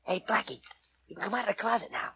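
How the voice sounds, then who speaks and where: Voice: medium pitch, fast, creaky, natural voice. A performer playing a dim, loyal, eager male sidekick with naive, slangy delivery in a 1940s radio detective drama. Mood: sly innocence. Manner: naive, slangy, wide-eyed. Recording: muffled old radio recording with hiss.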